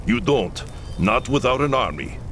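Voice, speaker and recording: An actor playing a proud, solemn leader of a war-torn camp in a fantasy game cutscene, speaking earnestly with music behind. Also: male voice, mid-range voice, lively intonation, unhurried, fairly bright tone, a little hiss or hum. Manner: earnest and solemn, pleading at times. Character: grave, proud Kislevite camp leader, middle-aged man. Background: orchestral game music.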